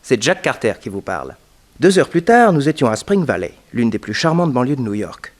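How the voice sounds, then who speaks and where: Brisk, eager detective's assistant. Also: male voice, medium pitch, sing-song. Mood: narrating. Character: lively nephew, eager detective's assistant and narrator. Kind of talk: 1960s French radio drama acting.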